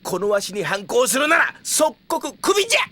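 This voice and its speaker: Cranky old mayor, highish bright voice, bossy n blustery, audio drama. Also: male voice, very animated, a little fast, exaggerated voice. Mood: scolding. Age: older. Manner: scolding, blustery old-man speech. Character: cranky bossy old male town mayor, part showman. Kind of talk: Japanese audio drama acting.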